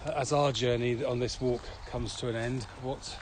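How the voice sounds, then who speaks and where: A man hosts a relaxed-format interview chat, prompting and summarising as he goes. His delivery is conversational. He is recorded outdoors on a walk, with clear ambient noise around his voice. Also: medium pitch, moderate pace. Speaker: podcast host asking questions. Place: outdoors, walking. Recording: noisy outdoor walking recording.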